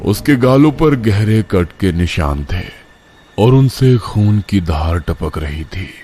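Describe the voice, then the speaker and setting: A man's voice: on the low side, sing-song, slow, warm tone, breathy edge, soft background music. A man narrating a scripted horror story as its storyteller.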